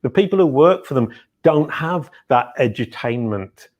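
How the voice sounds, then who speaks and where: Excitable middle-aged man hosting an interview. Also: mid-range voice, moderate pace. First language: English. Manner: excitable, interrupts, stumbles and repeats words. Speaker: podcast host. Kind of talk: casual interview chat.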